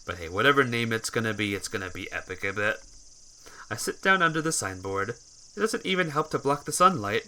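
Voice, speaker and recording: A man live-streaming a visual novel, reading the text aloud and breaking off for casual, halting asides to his viewers. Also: on the low side, lively intonation, bright, forceful tone, slight background noise, rough recording. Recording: music behind the voice.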